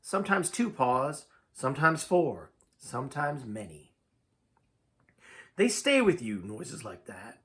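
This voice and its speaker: A man reading a story aloud as narrator, with a dramatic, expressive, folksy delivery. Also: mid-range voice, slow. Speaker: amateur story reader.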